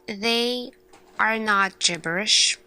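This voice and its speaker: Woman, ASMR roleplay, earnest lead scientist, extremely slow delivery, bright crisp-edged tone, deliberate dramatic pauses. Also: medium pitch. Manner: deliberate, dramatic pauses between short phrases. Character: earnest female lead scientist addressing her team.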